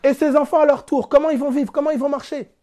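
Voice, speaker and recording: A man's voice: extremely high pitch, fairly even intonation, fast talker, mellow. A man from France giving a spiritual teaching talk, explaining through everyday examples and rhetorical questions in a plainspoken, casual way. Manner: plainspoken, casual turns of phrase.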